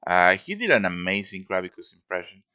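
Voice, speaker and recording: A man's voice: low-pitched, sing-song, fairly quick, pushed and bright, smooth. A man giving a casual show-and-tell tutorial as a game modder, informal and thinking aloud as he works.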